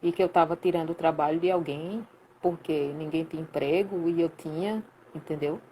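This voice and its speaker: A woman from Recife with a Pernambuco accent tells her story in a relaxed, slangy way. Her voice sits fairly low for a woman. A faint ambient hum runs under the recording. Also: normal speed, rough recording. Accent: Pernambuco Portuguese. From Recife, Brazil. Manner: lively, colloquial storytelling with slang. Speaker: guest, sound professional. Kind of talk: casual storytelling in a live chat.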